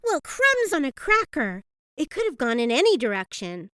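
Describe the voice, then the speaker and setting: A young princess from a fantasy audiobook. Her voice is high and close-miked without room sound. She is proper and theatrical, haughty yet puckish and plucky. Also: huge pitch swings, normal speed, sharp tone, no echo, heavily acted. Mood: flustered, dismayed. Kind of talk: audiobook character acting.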